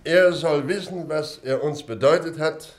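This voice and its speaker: A man's voice: on the high side, a little slow, lightly acted. A man playing a pompous company director in a radio play, giving a formal, stilted, ceremonious speech.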